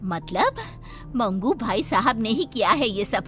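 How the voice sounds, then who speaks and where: A woman playing an anxious, accusing village wife, emotional and melodramatic, in an animated story. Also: fairly high pitch, measured pace, soft background music. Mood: angry accusing.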